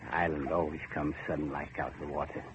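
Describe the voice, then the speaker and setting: A man's voice: a bass voice, flat delivery, quick pace. An actor playing a grim, cryptic older boatman in old radio horror style, speaking in folksy, clipped phrases. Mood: terse, foreboding. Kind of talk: 1940s radio horror acting. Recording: noisy muffled old radio recording.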